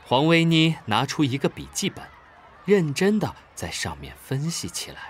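A man narrating a children's audio drama in a calm storytelling manner. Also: fairly high pitch, normal speed, slight background noise.

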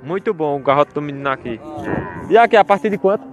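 A man's voice: a little high, expressive, fast talker, lo-fi sound, soft background music. A man walks through outdoor cattle pens, filming on his phone and chatting to his viewers, repeating his call-outs to them. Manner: repetitive, chatty, keeps calling out to his audience. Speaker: vlogger touring a cattle fair. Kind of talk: casual walkaround commentary to viewers. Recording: outdoor market with crowd chatter.

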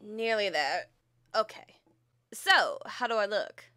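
Woman as cocky anime tough girl, snarky, animated, sharp bright voice. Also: medium pitch, takes it slowly, dry recording. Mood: snarky, irritated. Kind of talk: parody anime fan dub acting.

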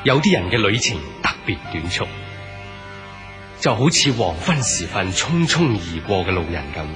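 A man's voice: fairly low pitch, big pitch swings, moderate pace, a bit bright. A man with a Hong Kong Cantonese accent giving literary, scripted narration for a radio drama. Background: music.

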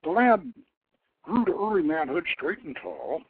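A man's voice: fairly high pitch, wildly swooping pitch, a little slow, a little hiss or hum. A man reading a story aloud as a radio host, in a theatrical, formal storytelling style. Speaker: radio show host and author. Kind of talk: dramatic storytelling, reading his own novelette. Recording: muffled, thin phone-like radio line.

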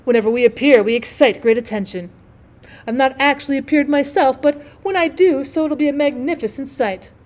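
A woman's voice: sits high, a little fast, a little mellow, pitched above the performer's own voice, natural voice. A woman voicing a vain male firework rocket in a storybook, with a haughty, boastful, declamatory manner. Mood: haughty, disdainful.

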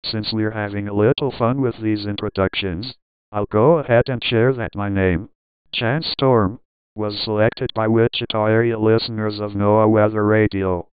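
A man's voice: on the low side, steady tone, normal speed, smooth, no room sound, lightly acted. A synthetic male voice playing a pleasant, robotic weather radio announcer. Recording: dull lo-fi synthesizer audio.

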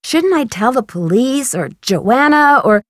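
An actress playing a bright, wry young woman detective in scripted video game dialogue. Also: high voice, animated, unhurried, no room sound, clean studio sound. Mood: earnest, puzzled.

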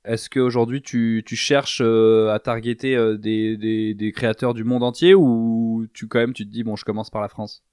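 A man's voice: lowish voice, flat delivery, moderate pace, darkish tone, clean tone, no room sound. Male podcast host, dry close sound. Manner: hesitant, many fillers. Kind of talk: interview questions.

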